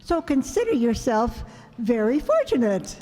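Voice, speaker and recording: Slow, chatty woman host on stage. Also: fairly high pitch, big pitch swings, dark tone. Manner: chatty, informal, shushing the crowd. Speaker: troupe host. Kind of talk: casual stage introduction to an audience. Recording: reverberant hall.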